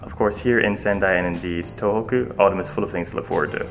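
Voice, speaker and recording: A man's voice: deep voice, fast, vocal fry, echoey room, soft background music. A man with an Irish accent co-hosting a radio show, chatting casually with many fillers. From Ireland. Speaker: radio co-host.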